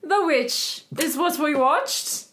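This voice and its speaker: Woman, podcast co-host, high voice, lively pitch, mock fancy delivery. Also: takes it slowly, slight background noise. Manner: playful affected fancy voice, giggly. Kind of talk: silly casual chat.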